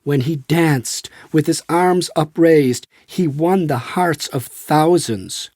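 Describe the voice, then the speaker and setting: Man voicing a husky, enthusiastic American devotee. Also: a little high, slow, dry recording, studio quality. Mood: excited reminiscing. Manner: warm, animated, admiring. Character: friendly, enthusiastic tall American devotee man recalling his guru. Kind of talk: acted dialogue in an audiobook.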